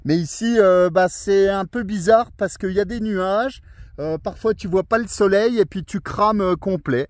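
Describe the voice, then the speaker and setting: A man's voice: sits high, normal speed, a little mellow, no echo, clean studio sound. A man from France, an amateur radio operator chatting casually during a radio contact. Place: outdoors on a mountain, over a thousand metres.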